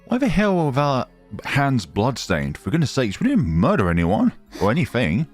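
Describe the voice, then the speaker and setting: A man's voice: mid-range voice, huge pitch swings, moderate pace, soft background music. A man giving casual, informal let's play commentary on a game, sounding incredulous. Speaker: gaming streamer.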